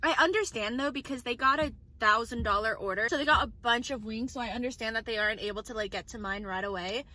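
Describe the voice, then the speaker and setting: A woman's voice: fairly high pitch, fast talker, pushed and bright. Chatty young woman vlogging. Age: 20s. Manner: chatty, rambling with many "like" fillers. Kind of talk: casual vlog chat. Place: indoors and in a car. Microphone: phone camera.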